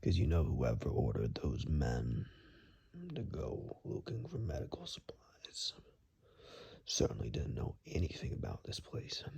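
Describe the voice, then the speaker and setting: Casting: male actor as rugged, weary monster hunter for ASMR roleplay; extremely low, gravelly, breathy voice with heavy fry, sliding widely in pitch. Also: a little mellow, full character voice. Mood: somber, bitter. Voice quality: very deep, gravelly, breathy near-whisper. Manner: slow, grave, halting.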